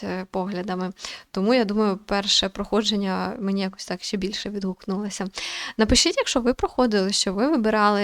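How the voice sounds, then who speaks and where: A woman's voice: medium pitch, dry recording. Casual young woman, very dry recording. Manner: thinks aloud, hesitant, informal. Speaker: gaming video host. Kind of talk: casual let's-play commentary.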